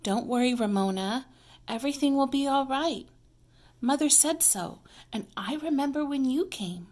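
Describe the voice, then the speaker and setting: A woman's voice: high-pitched, animated, normal speed, darkish tone, voice pushed up. A woman reading the part of a reassuring, matter-of-fact older sister in a children's storybook. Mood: comforting.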